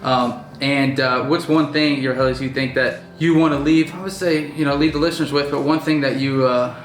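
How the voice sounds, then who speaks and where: A man's voice: mid-range voice, steady tone, brisk, reverberant, faint music behind, low-quality recording. A man in his twenties hosting a casual business vlog, talking loosely with many fillers and restarts. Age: 20s. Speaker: vlog host and business owner. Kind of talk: casual chat. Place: indoors at night.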